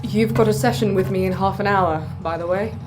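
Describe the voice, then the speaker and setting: An actress playing a firm, exasperated space crew counsellor in a naturalistic sci-fi audio drama, reasoning pointedly with terse patience. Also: female voice, mid-range voice, brisk, over music, close to an everyday voice. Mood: dry, explaining.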